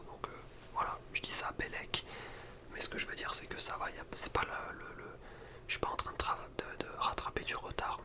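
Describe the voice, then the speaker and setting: Whispering young man, ASMR style. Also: very high-pitched. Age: young adult. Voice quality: soft, breathy unvoiced whisper. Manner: informal, slangy, rambling. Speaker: video creator. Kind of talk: whispered ASMR chat about personal routine.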